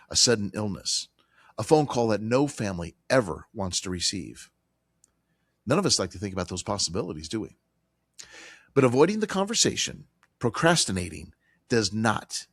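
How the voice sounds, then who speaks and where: Hoarse guy hosting a money talk show, lowish voice, dry close mic, punchy and repeats himself. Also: very animated, normal speed, fairly bright tone, no room sound. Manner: emphatic, repetitive, conversational with fillers. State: hoarse from a cold. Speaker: radio show host. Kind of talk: financial talk radio monologue.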